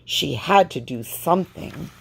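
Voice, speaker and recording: A woman reading a children's storybook aloud with expressive, deliberate phrasing. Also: low voice, gravelly, husky, poor audio. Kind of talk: read-aloud storybook narration.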